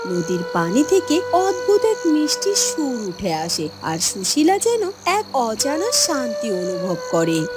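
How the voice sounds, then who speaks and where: A woman's voice: sits high, heavily acted. A woman narrator telling a scripted fairy tale.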